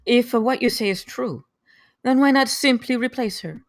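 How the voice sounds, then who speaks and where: A woman's voice: on the high side, normal speed, no room sound, clean studio sound, close to an everyday voice. A woman playing a poised, dangerous recruit to a hunt in a screenplay table read. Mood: cool, questioning.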